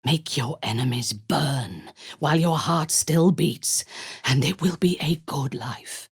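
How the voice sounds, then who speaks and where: A woman voicing a ruthless, haughty dark elf warrior commander in a video game, speaking in an imperious, formal, menacing way. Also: low-pitched, slow, vocal fry, breathy edge, no echo, studio quality, exaggerated voice. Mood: cold, imperious.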